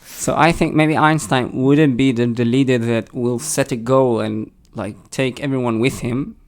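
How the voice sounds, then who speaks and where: Creaky-voiced man with a slightly British-tinged Israeli accent. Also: mid-range voice, fairly even intonation, a little slow, a little mellow. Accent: Hebrew-accented English with a slight British tinge. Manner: thoughtful, hesitant, restarts often. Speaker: podcast co-host. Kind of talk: casual discussion.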